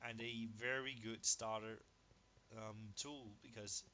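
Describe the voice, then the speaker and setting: A man with a Danish first language talks through his game in an easy, chatty way. He gets excited over each result and does sums aloud, over a clear steady hiss. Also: medium pitch, unhurried. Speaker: gaming video creator. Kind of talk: casual gameplay commentary.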